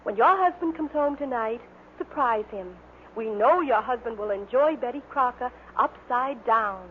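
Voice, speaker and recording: Higher-pitched American woman, tinny vintage radio. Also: lively intonation, moderate pace, a little hiss or hum. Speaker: commercial announcer. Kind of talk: 1950s radio advertisement.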